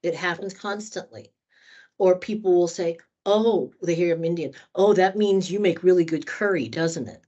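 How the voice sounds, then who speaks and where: A woman's voice: fairly low pitch, moderate pace, mellow, dull audio. A woman trainer answering questions in a conversational workshop, candid and thoughtful, speaking at length. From United States.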